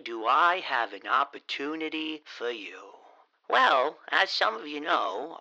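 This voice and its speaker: An actor playing a slick, folksy late-night radio host pitching a sponsor in an audio drama. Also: male voice, gravelly, bright, forceful tone, full character voice, rough recording. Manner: folksy salesman patter.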